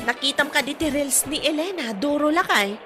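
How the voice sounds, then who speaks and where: High-pitched, fussing village mother, played by a woman. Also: expressive, moderate pace, music in the background. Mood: insistent. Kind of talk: Ilocano comedy drama dialogue.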